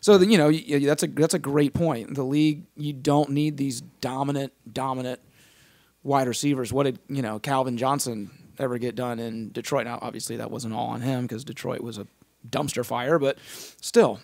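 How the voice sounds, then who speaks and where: A man's voice: mid-range voice, steady tone, creaky, darkish tone, no room sound. A man hosting a casual sports podcast, steering the chat and firing off questions, his voice still recovering from an illness. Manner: leads the talk, quick bursts of questions. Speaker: podcast host.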